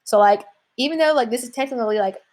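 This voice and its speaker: A woman's voice: a little high, fast, a little mellow. A young adult woman teacher walking students through an assignment in a casual, chatty way with many fillers. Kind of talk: classroom lesson walkthrough.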